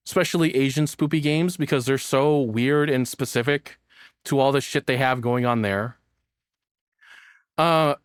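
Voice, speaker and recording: A man's voice: on the high side, no room sound, studio quality. A man reacting to a video as a streamer, chatting casually and swearing freely.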